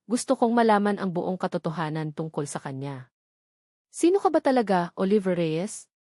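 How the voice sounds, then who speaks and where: Woman narrator, earnest, emotional, mid-pitched, dry close recording. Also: darkish tone, dry recording. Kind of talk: first-person dramatic story narration.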